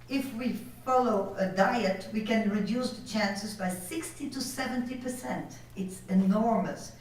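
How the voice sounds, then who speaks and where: A woman from Belgium with a French accent, whose first language is French, giving a conference lecture over slides and pausing now and then to search for words. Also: on the high side, a little mellow. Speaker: conference speaker. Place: conference hall. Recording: echoey hall from far off.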